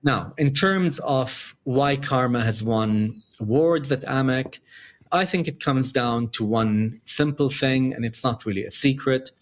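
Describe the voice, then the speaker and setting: A middle-aged man from Dubai with a light Dubai accent, answering interview questions in measured, explanatory short phrases. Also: mid-range voice. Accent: light Dubai English. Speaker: podcast guest, company founder.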